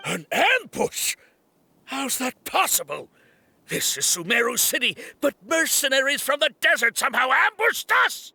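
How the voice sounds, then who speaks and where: Agitated male guard, very high pitch, sharp forceful edge, raspy, barking, game drama. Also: very animated, a little slow, full character voice. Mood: shocked. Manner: urgent, barking, accusatory. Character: aggressive, alarmed male guard hunting a fugitive. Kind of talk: dramatic English video game voice acting.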